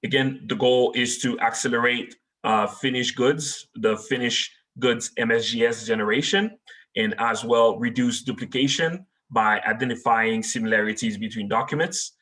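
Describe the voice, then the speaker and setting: A man with a Haitian accent, native in French and Creole, giving a technical webinar talk and answering questions, with many filler pauses. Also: medium pitch, fairly even intonation, sharp tone. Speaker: webinar speaker. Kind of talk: technical presentation and Q&A.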